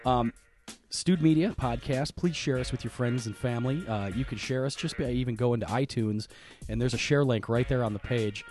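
A man's voice: mid-range voice, a little fast, a little mellow, faint music behind. A man hosting a podcast, stuffed up and half losing his voice, rambling and losing his place in casual chat. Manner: rambling, loses his place, self-deprecating. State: stuffed up, congested. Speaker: podcast host.

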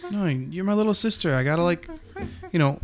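A man playing an easygoing older brother who shows his sister around a studio job, talking in a relaxed, offhand way. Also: on the high side, fairly quick, crowd noise, voice pushed up.

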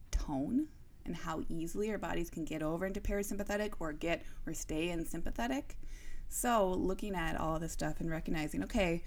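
A young adult woman co-hosting a podcast, explaining ideas in a casual, chatty way with lots of fillers and restarts. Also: on the low side. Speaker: podcast co-host. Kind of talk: casual chat.

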